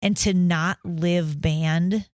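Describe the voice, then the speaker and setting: Candid, chatty woman guest, slightly low voice, dry recording. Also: steady tone, extremely slow, darkish tone, no echo. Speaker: guest, band mom of a senior. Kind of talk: casual podcast chat.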